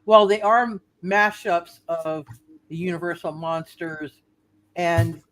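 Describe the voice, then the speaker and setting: A man's voice: on the high side, fairly even intonation, slow, fairly bright tone. A man narrating a live tabletop roleplaying game as game master, casually rambling and repeating his phrases.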